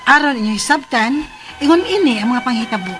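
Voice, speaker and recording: Actress as a high-voiced, worried farmer's daughter. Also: female voice, animated, fairly quick, loud music behind the voice, lightly acted. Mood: earnest, narrating. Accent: Filipino, Cebuano-speaking. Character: worried 32-year-old married farmer's daughter telling her story. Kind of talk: radio drama acting.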